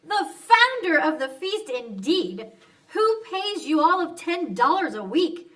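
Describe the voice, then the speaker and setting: A woman's voice: very high voice, big pitch swings, takes it slowly, a bit bright, heavily acted. A woman playing a bustling, outspoken, motherly housewife in an old-time radio drama. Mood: indignant. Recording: slightly distant from the mic.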